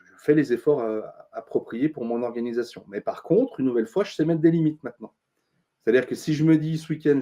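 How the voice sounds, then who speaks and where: A middle-aged man, a consultant being interviewed, talking earnestly with many pauses and restarts. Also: medium pitch, mellow. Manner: thoughtful, many fillers and restarts, earnest advice-giving. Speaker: interview guest, business consultant. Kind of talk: casual interview talk.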